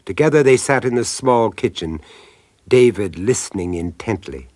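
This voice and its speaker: Low, expressive actor as horror storyteller, man. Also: dry recording, clean studio sound, lightly acted. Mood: grave, suspenseful. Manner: dramatic, deliberate. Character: grave, suspenseful male storyteller of horror tales. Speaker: narrator. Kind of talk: scripted radio horror narration.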